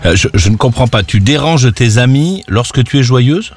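A man's voice: medium pitch, animated, a little mellow, husky, soft background music. Playful male radio call-in host. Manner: playful, teasing, quick to probe.

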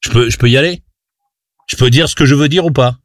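A man's voice: mid-range voice, fast talker, no room sound, faint music behind. A man arguing insistently in a heated debate, sarcastic at times and full of hesitations.

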